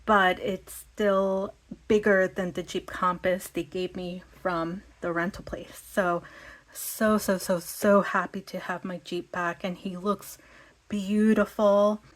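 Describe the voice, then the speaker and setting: A woman with a slightly raspy voice, chatting casually and thinking aloud with lots of fillers as she plans her week. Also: mid-range voice, flat delivery, takes it slowly, rough recording. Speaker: vlogger. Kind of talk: casual planning chat.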